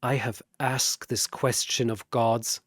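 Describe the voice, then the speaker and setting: A man voicing a weary, scarred immortal in a fantasy battle story, solemn and quiet, brooding over an endless search. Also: mid-range voice, flat delivery, slow pace, no room sound, studio quality, voice pushed down. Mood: solemn, haunted.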